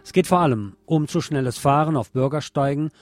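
A man's voice: fairly high pitch, brisk. Sardonic male radio newsreader over music. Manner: sardonic, opinionated. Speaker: radio news presenter. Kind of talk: radio news reading with sarcastic commentary. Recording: music bed under the voice.

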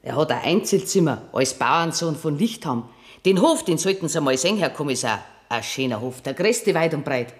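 A protective, talkative Bavarian nurse in a radio drama. Her voice sits low and moves in lively swings. She speaks plainly and deferentially. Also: moderate pace. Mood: chatty, deferential. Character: chatty, protective female hospital nursing sister. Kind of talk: German radio drama acting.

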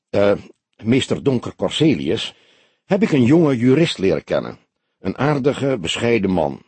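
A man voicing a woman civil servant under questioning in an audiobook, soft, careful and halting, defending herself. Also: medium pitch, husky, no room sound. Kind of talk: audiobook character dialogue.

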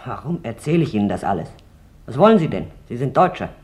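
A man's voice: medium pitch, big pitch swings, quick pace, darkish tone, natural voice. A man voicing a bitter, guarded wartime witness, reading the testimony in a sober, intent manner. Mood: bitter, accusing. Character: bitter woman eyewitness from wartime recalling camp, guarded.

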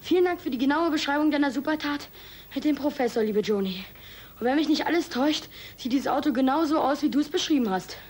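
Cheeky high-voiced kid. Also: female voice, animated, fast talker, a little mellow. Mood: excited, reading. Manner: blunt, colloquial, cheeky. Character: cheeky, brash, eager child in a sibling duo. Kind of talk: children's radio play acting.

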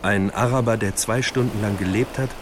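An actor playing a solemn, poetic brother figure, speaking in measured literary radio drama monologue. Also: male voice, fairly low pitch, a little hiss or hum, close to an everyday voice.

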